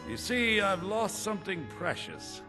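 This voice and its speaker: An actor playing a solemn, anxious priest of a death god, earnestly pleading in fantasy video game dialogue. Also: male voice, sits high, big pitch swings, measured pace. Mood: worried, pleading. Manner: solemn, earnest, theatrical delivery. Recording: loud music behind the voice.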